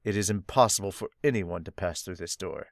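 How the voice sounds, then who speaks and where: A man voicing a cold, menacing giant demon king from a manga, speaking low and threatening. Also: a little fast, creaky, no room sound, clean studio sound.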